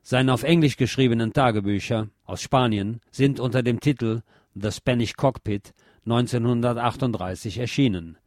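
A man's voice: medium pitch, a little mellow, no room sound, studio quality. A man reading scripted narration in the manner of a formal biographical note with credits.

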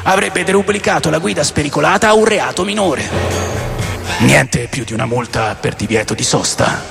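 A man narrating a radio drama in the first person, with a gravelly, theatrical and wry storytelling delivery. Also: on the high side, expressive, normal speed. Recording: long reverb, music underneath.

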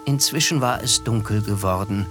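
An actor in a radio drama playing a reflective, wistful young man who narrates in a measured, literary way. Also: lowish voice, close to an everyday voice. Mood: pensive. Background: music.

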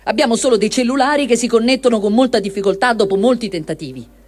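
A woman reading a first-person war diary aloud as a dramatic testimony, measured and expressive, with deliberate pauses. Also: fairly high pitch. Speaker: reader of written testimony.

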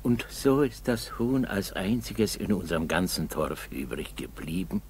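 An actor playing a kindly old Russian village grandfather in a 1960s radio play, chatty, coaxing and husky. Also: sits low, very animated, a little mellow, slight background noise, exaggerated voice. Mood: amused storytelling. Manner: folksy, coaxing, halting storytelling. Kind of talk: 1960s German school radio play acting.

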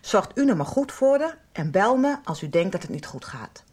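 Calm, husky counsellor. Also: female voice, medium pitch, normal speed. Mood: careful, reassuring. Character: calm, discreet female professional counselling a worried mother. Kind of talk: radio soap acting.

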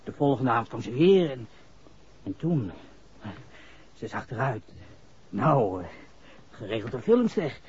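A man's voice: mid-range voice, very animated, unhurried, long pauses, darkish tone, breathy edge, full character voice. An actor playing a nervous, shifty young man under police suspicion, stammering slangy lines in a 1960s radio drama. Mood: defensive, uneasy. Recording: muffled vintage radio recording.

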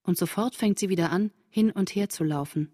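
A woman's voice: fairly low pitch, brisk, dark tone, lightly acted. An actress playing a shaken woman writing her diary in a literary radio drama, speaking intensely in terse fragments. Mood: subdued, watchful.

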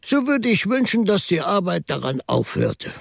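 Gentle priest musing to himself, radio play, slow n wistful, pitch swoops around, bit husky. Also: male voice, very animated, no echo, clean studio sound, full character voice. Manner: wistful, reflective, deliberate musing. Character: gentle, musing priest who mourns finished buildings. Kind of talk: radio drama monologue.

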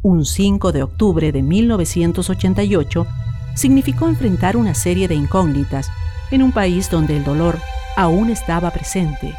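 A woman reading scripted documentary narration in a measured, solemn manner. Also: fairly low pitch, animated, dark tone, soft background music.

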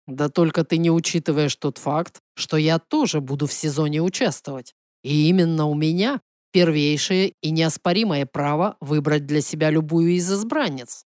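Женщина в роли низкоголосого самоуверенного принца. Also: female voice, sits low, darkish tone, dry recording, clean studio sound, voice pushed down, natural voice. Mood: menacing.

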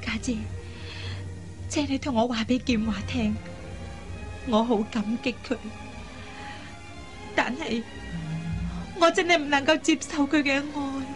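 A woman's voice: high-pitched, fairly even intonation, a little slow, long pauses, over music. A woman playing an earnest, reluctant young sister in a Cantonese radio drama, speaking hesitantly in short phrases. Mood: distressed, earnest.

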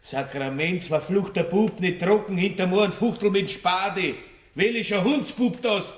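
Slow, pompous, lecherous old baron, Viennese. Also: male voice, high voice. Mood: furious. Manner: leisurely, pompous, archaic aristocratic diction. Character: boorish, self-satisfied, lecherous aging country baron. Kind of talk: classic German-language radio play acting. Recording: roomy, echoing vintage studio radio recording.

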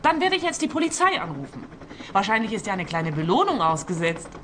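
An actress as a cheeky, lively-pitched young Swiss schemer. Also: female voice, brisk, noisy background, natural voice. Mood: scheming, breezy. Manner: pert, unabashed. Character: cheeky, scheming young woman, jobless and opportunistic. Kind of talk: radio crime comedy acting.

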